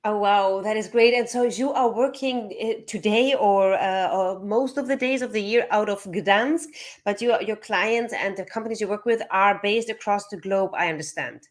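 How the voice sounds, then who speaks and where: A woman's voice: medium pitch, moderate pace. A woman hosting a live online interview, introducing a topic and guest and asking questions.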